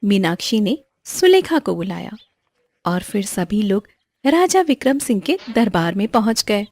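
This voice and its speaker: A woman's voice: medium pitch, very animated, measured pace, darkish tone, dry recording. A woman narrating a scripted moral story for children.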